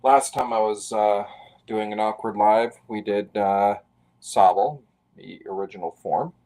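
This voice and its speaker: Slow, gravelly-voiced man in his forties. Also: fairly low pitch, dark tone. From Canada. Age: 40s. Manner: rambling, self-deprecating, mutters to himself. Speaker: artist live-streaming a drawing. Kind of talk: casual live-stream chat while drawing.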